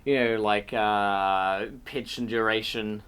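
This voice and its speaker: A man, the game master, chatting casually and giving roleplay tips, with a relaxed, rambling delivery. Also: fairly low pitch, slow, clean tone. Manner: relaxed, rambling explanations with many fillers.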